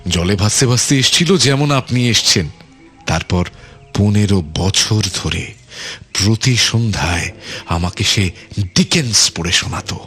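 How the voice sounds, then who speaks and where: A menacing lord of a remote island in a radio play. His voice is low and very slow, with a silky courtesy and lingering repeated phrases. Music plays softly beneath him. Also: expressive, husky, exaggerated voice. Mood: nostalgic. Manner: unhurried, repeats phrases, sinister chuckles, false courtesy. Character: menacing, softly polite island overlord, a settler's son. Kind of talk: radio drama acting. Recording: music under the voice.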